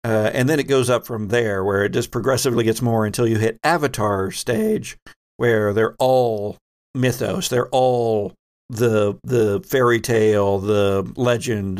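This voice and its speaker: A man hosting a tabletop role-playing game, explaining the rules in a halting, self-correcting way. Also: on the low side, darkish tone. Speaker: host and game master.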